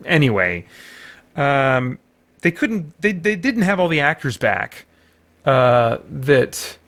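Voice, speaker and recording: A man's voice: a little high, fairly bright tone. A middle-aged man giving a casual, unscripted review as host, in a hesitant, rambling way with frequent backtracking.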